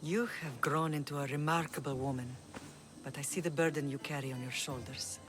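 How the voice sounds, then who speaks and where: A woman playing a dignified older Greek mother who rules an island, grave and measured, in a video game drama. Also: deep voice, fairly even intonation, music in the background, lightly acted. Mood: reflective, tender.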